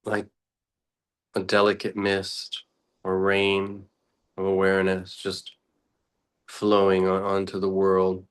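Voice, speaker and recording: Deep, slow-speaking man guiding meditation. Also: completely flat delivery, smooth. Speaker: meditation teacher. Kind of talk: guided meditation, unscripted and reflective.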